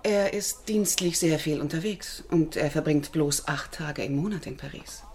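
A woman's voice: low-pitched, normal speed, a little mellow, breathy edge. A woman playing a self-assured, teasing French society lady who mentors and commands, in a German radio play. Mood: playful, confiding.

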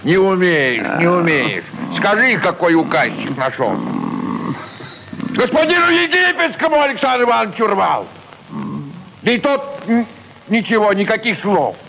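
An actor playing a bumbling, boastful village medical orderly in a radio play, stammering, repeating himself and easily offended. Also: male voice, high voice, very animated, moderate pace, vocal fry, bright, forceful tone, full character voice. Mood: indignant. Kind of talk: theatrical radio play acting. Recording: muffled, noisy old radio recording.

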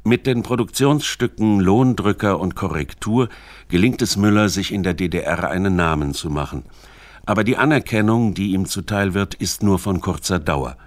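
A man narrating a scripted radio documentary in a measured, formal, articulate way. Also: deep voice, no room sound.

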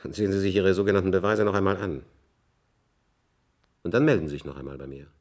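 A man's voice: low-pitched, fast, a little mellow, natural voice. An actor playing a stern, authoritative male official in a German radio play, speaking formally and with cold control. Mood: stern, reproving. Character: stern male state official rebuking a subordinate investigator.

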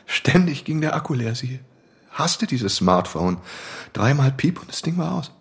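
A man's voice: medium pitch, very animated, a little slow, creaky. An actor playing a bitter young man confessing to police in a German radio crime drama, subdued and resentful.

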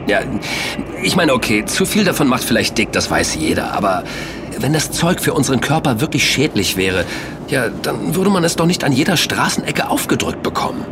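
An actor playing a sceptical, excitable man who protests in colloquial, incredulous dialogue in an audio drama. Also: medium pitch, normal speed, noisy background, close to an everyday voice. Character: sceptical, excitable male sugar lover named Kim.

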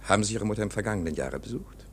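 An actor playing a cold, unimpressed inspector in a radio drama, interrogating in terse, probing phrases. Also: male voice, fairly low pitch, expressive, rapid-fire, a little hiss or hum, lightly acted. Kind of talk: German radio drama acting.

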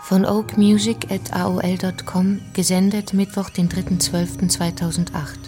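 Female narrator, measured and calm, faint music bed. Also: medium pitch. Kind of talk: scripted radio narration.

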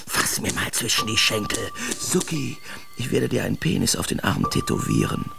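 An actor playing a brash, mocking male Dadaist artist, reciting theatrically in an expressive radio drama. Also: lowish voice, moderate pace, gravelly, over music, full character voice. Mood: rowdy, agitated.

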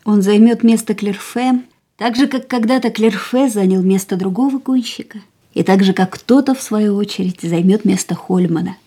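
An actress playing a gravely ill young woman who speaks quietly, tiredly and resolutely, often in a whisper, in a radio play. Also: medium pitch, moderate pace, natural voice. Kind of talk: studio radio drama acting.

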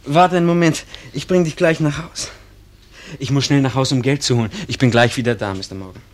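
A man playing an earnest, bashful small-town schoolboy in love, speaking haltingly in a German radio play. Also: mid-range voice, steady tone, lightly acted. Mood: hurried. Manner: halting, searching for words.

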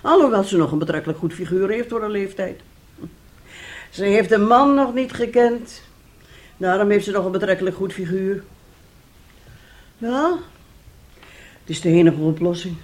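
An actress as a bitter, nagging middle-aged wife. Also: female voice, medium pitch, normal speed, long pauses, darkish tone, natural voice. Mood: dry, catty. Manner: reproachful, sarcastic, theatrical. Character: bitter, nagging, mocking wife of a failed composer. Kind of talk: radio drama acting.

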